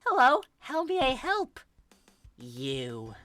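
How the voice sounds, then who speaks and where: A fanfic reader putting on a furious, sharp young big sister. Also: sits high, huge pitch swings, takes it slowly, no room sound, faint music behind, voice pushed up, exaggerated voice. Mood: restrained anger. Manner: forced composure breaking into furious screaming. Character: short-tempered older sister, young woman, screaming gamer.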